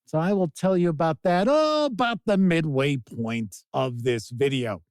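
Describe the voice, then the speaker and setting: A man presenting a casual tabletop game review as host, speaking in deliberate, emphatic phrases. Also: a little high, expressive, a little mellow, clear, resonant tone, no room sound, studio quality. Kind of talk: casual scripted-outline product review to camera.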